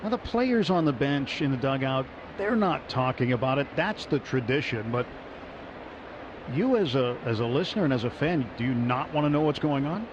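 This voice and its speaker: A man doing radio commentary on a baseball game, chatting conversationally between pitches. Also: expressive, normal speed. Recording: slightly muffled radio broadcast with ballpark noise.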